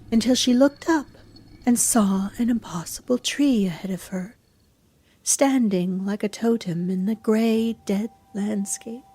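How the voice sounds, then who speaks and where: A woman narrating a horror audio drama in a solemn, hushed, deliberate and dramatic storytelling manner. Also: fairly high pitch, breathy edge.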